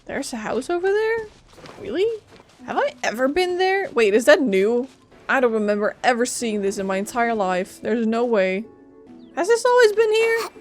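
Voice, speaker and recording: A woman's voice: a little high, big pitch swings, moderate pace. A woman giving casual, slangy commentary as a game streamer, playfully reacting aloud. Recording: streamer voice over game music.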